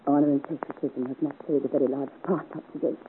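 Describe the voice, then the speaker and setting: A woman's voice: very deep voice, steady tone, quick pace. A woman acting a secretive, troubled young Parisian woman, earnest and dramatic, in 1950s radio serial style. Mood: anguished, pleading. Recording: narrow-band, hissy 1950s radio recording.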